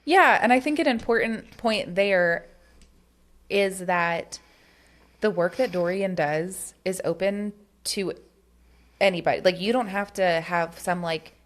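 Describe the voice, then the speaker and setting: A woman's voice: medium pitch, a little slow. A woman co-hosting a podcast, talking candidly and earnestly about getting involved in politics, with casual swearing. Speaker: podcast co-host.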